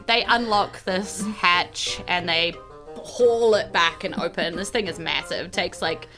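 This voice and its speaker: Kiwi woman running a tabletop game, bright voice, chatty, music playing behind her. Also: on the low side, measured pace. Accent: New Zealand. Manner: casual, conversational. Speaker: game master. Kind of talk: improvised tabletop game narration.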